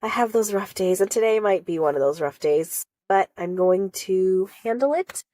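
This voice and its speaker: A woman's voice: medium pitch, lively intonation, moderate pace, no room sound, clean studio sound. Sniffly, chatty woman recapping a show. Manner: rambling, chatty, warm encouragement. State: sniffly with a cold. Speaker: reality show reaction vlogger. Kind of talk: casual recap vlog.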